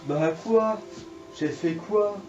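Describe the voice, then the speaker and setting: A man putting on a high, whiny naive guy. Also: very slow, darkish tone. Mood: offended. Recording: echoey lo-fi room with faint music.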